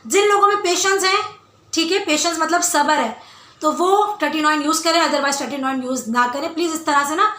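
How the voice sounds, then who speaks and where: Loud, high-pitched woman vlogger. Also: brisk. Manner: emphatic, insistent, repeats phrases for stress. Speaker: beauty vlogger. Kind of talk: casual skincare Q&A talk to viewers. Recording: roomy, echoey indoor sound.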